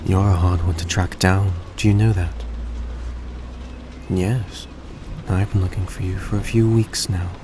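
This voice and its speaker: A man playing a suave, tender centuries-old vampire in a roleplay audio drama, speaking in a soft, coaxing, genteel way to the listener. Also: low-pitched, unhurried. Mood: caring, playful. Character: suave, gracious centuries-old male vampire, young-looking, tender and grateful. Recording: steady background noise, vehicle-like ambience.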